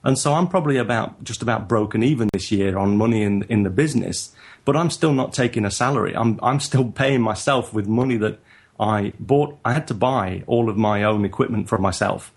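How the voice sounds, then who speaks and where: A man with a northern English accent, talking in a halting, reflective way as a guest in a casual interview. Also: fairly low pitch, breathy edge. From northern England. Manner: halting, reflective, frequent restarts. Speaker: guest photographer.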